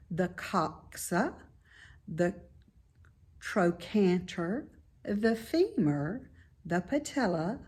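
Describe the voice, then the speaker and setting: A woman's voice: fairly low pitch, darkish tone, slightly rough, exaggerated voice. A woman voicing a wise, patient female spider from a storybook, precise and instructive in manner.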